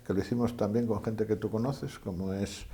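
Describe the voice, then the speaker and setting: A man's voice: lowish voice, steady tone, a little fast, mellow. An older retired man from Asturias, a guest in an interview, who talks in a modest, hesitant way and makes light of himself. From Asturias, Spain. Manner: modest, hesitant, self-deprecating. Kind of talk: conversational interview.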